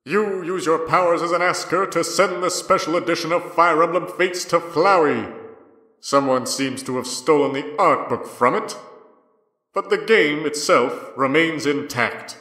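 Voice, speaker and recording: A man playing a formal game-style narrator, reading a script in a measured, declarative way. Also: a little high, pushed and bright. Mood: matter-of-fact. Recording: echoey, long reverb.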